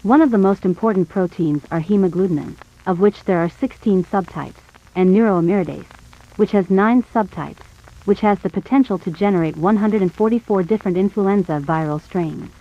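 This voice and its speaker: A woman reading scripted educational narration in a measured, explanatory way. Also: mid-range voice, lo-fi sound.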